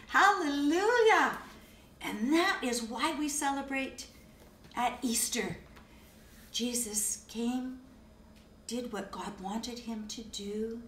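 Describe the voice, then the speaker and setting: An older woman giving a children's message, gently and expressively telling a picture-book Bible story in a living room. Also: on the high side, a little hiss or hum. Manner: slow, gentle and expressive, like reading aloud to children. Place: living room, seated in an armchair. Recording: echoey room, distant mic.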